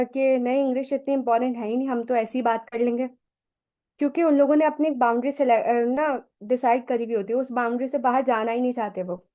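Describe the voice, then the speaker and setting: A woman's voice: fairly high pitch, dark tone. A woman with a Pakistani accent giving an online talk over a video call, mixing English phrases into her Urdu in a chatty, teacherly way. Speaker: online session speaker.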